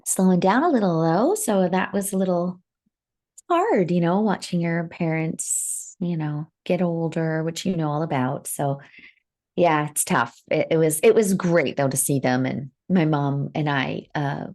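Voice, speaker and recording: A woman's voice: sits low, measured pace, a little mellow. A middle-aged woman chatting casually as a podcast co-host, informal and affirming. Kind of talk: casual chat.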